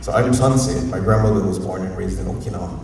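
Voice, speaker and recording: A university professor from Hawaii with a Hawaiian accent, giving a rambling, informal, unscripted talk at a community forum and often chuckling. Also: male voice, deep voice, fast, darkish tone. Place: museum auditorium. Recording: echoey hall, distant mic, faint noise.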